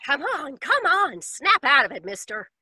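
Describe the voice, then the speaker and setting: A woman's voice: high voice, big pitch swings, unhurried, sharp tone, no echo, clean studio sound, heavily acted. A woman playing a panicky, uneducated, brassy woman in old-time detective radio, with melodramatic, slangy working-class speech. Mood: urgent. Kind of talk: 1940s radio detective acting.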